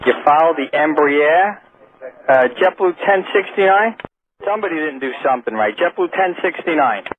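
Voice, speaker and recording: A man's voice: a little high, animated, normal speed, narrow, tinny audio. A man working as an air traffic controller, questioning and scolding pilots in exasperation over aviation radio.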